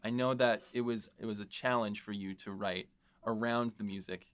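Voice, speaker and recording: A man with a fairly low voice co-hosts a podcast. He asks measured, thoughtful questions in a casual, friendly chat. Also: fairly even intonation, fairly bright tone, clean tone. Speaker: podcast co-host asking questions.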